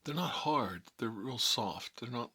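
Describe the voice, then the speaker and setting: A man's voice: mid-range voice, lively intonation, a little slow, bright, forceful tone, husky, full character voice. A man playing a hot-headed, sentimental mob boss in an improvised comic monologue, talking in a rambling, repetitive, colloquial way. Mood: nostalgic rambling.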